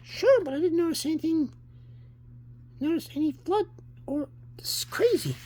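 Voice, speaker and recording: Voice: very high voice, lively intonation, moderate pace, warm tone, slight background noise, pitched above the performer's own voice. A reader voicing a bewildered, earnest young son in a comic book story, with a light protesting manner.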